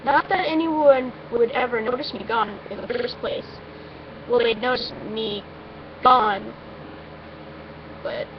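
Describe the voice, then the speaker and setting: A woman reading a first-person story aloud in an informal, amateur way, now and then stumbling over her words. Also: a little high. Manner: informal, stumbles and breaks off with asides. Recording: muffled, noisy amateur recording.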